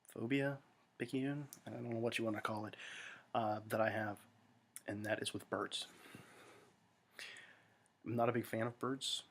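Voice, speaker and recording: A man's voice: fairly low pitch, creaky, fairly bright tone, breathy edge. An American man talking to friends in a rambling, self-deprecating monologue full of fillers and restarts, recorded at home. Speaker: vlogger.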